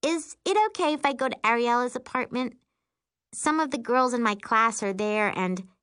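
A woman's voice: a little high, moderate pace, fairly bright tone, smooth, voice pushed up, lightly acted. A woman reading a storybook as a shy, uncertain girl, the narrator's younger sister. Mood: hopeful.

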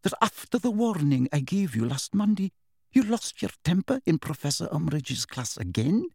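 Male reader playing a strict elderly schoolmistress, sharp and clipped, raised pitch, dry close sound. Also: sing-song, normal speed, vocal fry, husky, no room sound, heavily acted. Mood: stern scolding. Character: stern strict older female teacher.

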